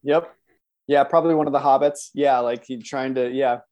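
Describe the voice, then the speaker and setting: A man's voice: mid-range voice, moderate pace, dull audio. A man who works as a voice actor, chatting casually and enthusiastically as an interview guest. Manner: enthusiastic, animated self-interruptions.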